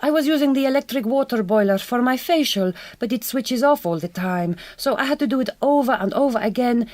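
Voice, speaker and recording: An actress playing an earnest, naive young migrant farm worker with a Hungarian accent, in a naturalistic radio drama. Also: female voice, on the high side, animated, fairly quick, a little mellow, no room sound, close to an everyday voice. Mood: apologetic, earnest.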